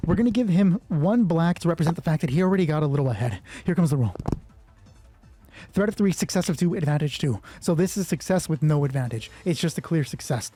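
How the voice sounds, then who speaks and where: A man's voice: on the high side, dark tone. A man narrating an improvised solo tabletop game, thinking aloud casually and swearing freely. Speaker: solo roleplay player and narrator.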